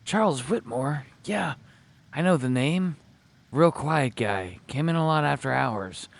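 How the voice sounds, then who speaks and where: Man acting a nervous, higher-pitched records clerk. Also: animated, unhurried, creaky. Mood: nervous, secretive. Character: nervous male night-shift records clerk, furtive informant.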